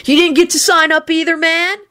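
A woman voicing a snide, taunting young man in a squeaky, jeering voice. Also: little pitch movement, a little fast, a bit bright.

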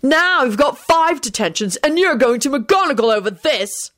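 A woman's voice: high-pitched, expressive, normal speed, fairly bright tone, pitched above the performer's own voice. A woman voicing a stern, angry teenage school prefect in a story, barking threats.

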